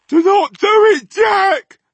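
A man voicing a terrified flesh-eating giant from a children's storybook, wailing and pleading in drawn-out shrieks. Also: very high-pitched, creaky, dry recording, pitched above the performer's own voice, exaggerated voice. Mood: desperate screeching. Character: terrified flesh-eating giant, male, screeching, begging and blustering. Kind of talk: dramatised children's storybook reading.

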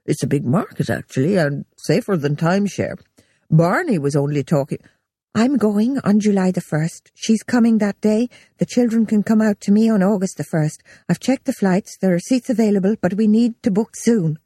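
A woman doing a calm, steady, determined wife. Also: sits low, moderate pace, slightly rough, no room sound, studio quality, exaggerated voice. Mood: firm.

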